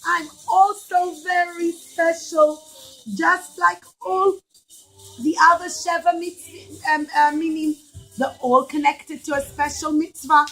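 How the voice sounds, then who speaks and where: Talking fig tree, male puppet played by a woman: high, unhurried, proud, delighted, emphatic. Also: fairly even intonation, fairly bright tone, faint music behind, heavily acted, rough recording. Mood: joyful, proud. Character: happy, proud male fig tree, newly feeling special.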